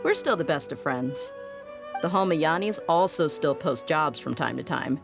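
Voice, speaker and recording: A woman voicing a tough, easygoing female desert mercenary in a video game, relaxed and teasing. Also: low voice, moderate pace, vocal fry. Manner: relaxed, teasing, laughs things off. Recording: game audio with faint music.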